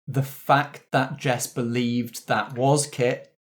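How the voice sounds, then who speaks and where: A man's voice: mid-range voice, level tone, slow. A man talking as a game master in a casual backstage discussion, explaining things thoughtfully. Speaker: game master of a tabletop roleplay show.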